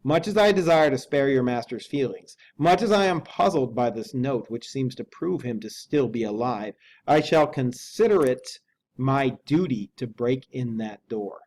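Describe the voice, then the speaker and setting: A man voicing a measured middle-aged lawyer who speaks slowly and formally. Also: medium pitch, animated, darkish tone, natural voice. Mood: reasoning. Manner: slow, deliberate, formal.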